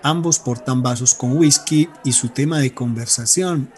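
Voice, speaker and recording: A man's voice: medium pitch, moderate pace, a little mellow. A man reading scripted narration for a radio drama.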